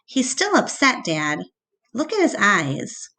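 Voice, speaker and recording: A woman voicing an earnest, excitable young girl in a storybook read-aloud, speaking with wide-eyed urgency. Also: mid-range voice, slow pace, full character voice. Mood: worried.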